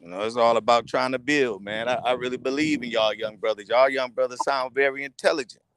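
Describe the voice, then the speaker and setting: A man with a Southern accent calling into a live stream, halting and defensive, often restarting his words. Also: medium pitch, a bit bright, clean tone. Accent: Southern American. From Alabama. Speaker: caller. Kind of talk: casual call-in debate.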